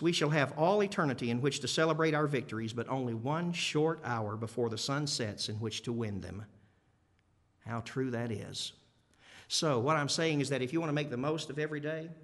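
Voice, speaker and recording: A man preaching a sermon in an emphatic but conversational way, repeating key phrases and putting questions to his listeners. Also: medium pitch. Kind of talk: sermon to a congregation. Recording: long hall reverb.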